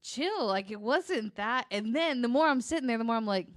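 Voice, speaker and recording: A woman's voice: a little high, lively intonation, normal speed, dry recording, studio quality. A woman co-hosting a podcast, chatting casually and telling rambling, jokey stories while interrupting herself. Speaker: podcast co-host. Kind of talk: casual chat and rambling storytelling.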